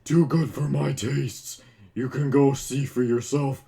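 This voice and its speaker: A man voicing a defeated, grudging monster villain from a video game, with emphatic, drawn-out words. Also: mid-range voice, steady tone, creaky, dark tone. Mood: resigned, grudging.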